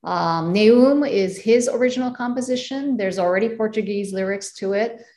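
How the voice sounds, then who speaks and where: A woman, a jazz singer, talking thoughtfully in a casual interview, pausing often to find her words. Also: mid-range voice, darkish tone. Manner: thoughtful, many fillers and restarts. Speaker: jazz vocalist guest.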